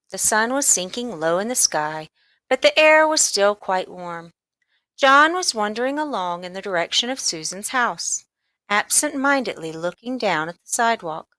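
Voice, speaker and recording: Expressive woman reading a children's story, bright voice. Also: medium pitch, no room sound. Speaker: storybook reader. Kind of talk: scripted narration, children's read-aloud.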